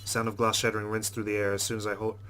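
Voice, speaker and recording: A man's voice: lowish voice, monotone, racing, bright, forceful tone, a little hiss or hum. A man hosting a let's play, reading visual novel narration aloud expressively and dropping into casual asides.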